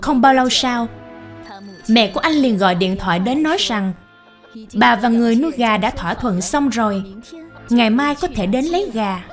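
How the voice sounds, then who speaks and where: A woman's voice: on the high side, expressive, moderate pace. A woman reading scripted story narration as the narrator of a moral tale. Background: soft music.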